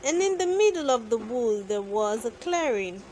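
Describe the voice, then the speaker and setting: A woman narrating a children's bedtime story with deliberate phrasing suited to very young listeners. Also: on the high side, very animated, darkish tone, slight background noise. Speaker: children's book reader.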